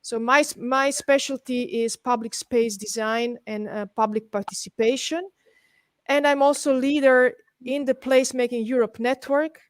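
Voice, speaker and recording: A middle-aged woman with a Serbian accent presents to an online webinar. Her voice sits a little high, and the sound is very dry with no room around it. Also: steady tone, moderate pace, no echo. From Belgrade, Serbia. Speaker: guest presenter, urban planner. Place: indoors, on an online webinar call.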